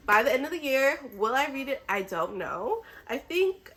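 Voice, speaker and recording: A woman's voice: high voice, very animated, normal speed, fairly bright tone, husky. A woman who is a little sick chats casually and enthusiastically to camera as a book vlogger, in an informal way.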